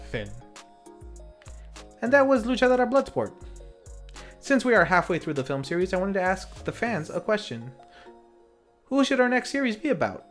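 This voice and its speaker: Higher-pitched man narrating tongue-in-cheek over music. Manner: tongue-in-cheek, playfully self-mocking. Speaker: host and narrator of a retro lucha libre film review series. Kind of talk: scripted film recap narration with commentary.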